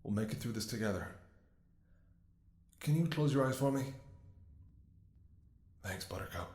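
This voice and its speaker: A man's voice: medium pitch, animated, unhurried, a little mellow, reverberant, lightly acted. A man playing a weary, devoted doctor in an intimate ASMR audio roleplay, speaking tenderly and soothingly to an ailing lover. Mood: tender, reassuring. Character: weary, devoted male doctor tending an infected lover in apocalypse. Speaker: voice actor.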